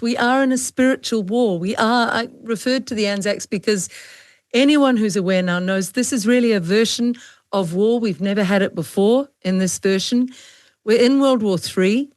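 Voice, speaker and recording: A woman's voice: mid-range voice, no echo, studio quality. A woman hosting a conversational online panel interview, speaking in an earnest, emphatic way. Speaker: interview host.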